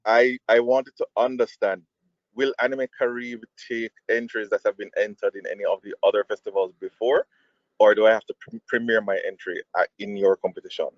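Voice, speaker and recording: A man's voice: lowish voice, steady tone, brisk, dry recording. A polite man asking questions as a participant in an informal online meeting. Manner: polite, apologetic. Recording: dry but dull online-call audio.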